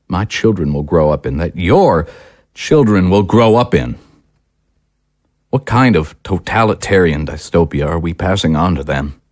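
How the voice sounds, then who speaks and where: An actor playing an alarmist, self-important political talk-show host in an audio drama, grave and emphatic. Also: male voice, low voice, darkish tone, no echo, clean studio sound. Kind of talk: broadcast monologue in audio drama.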